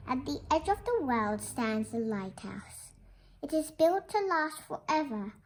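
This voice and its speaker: Bright, high-voiced young girl reading aloud. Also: low-quality recording. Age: child. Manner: explains and asks questions like a little teacher. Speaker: young storybook reader. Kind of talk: children's picture book read-aloud with explanations.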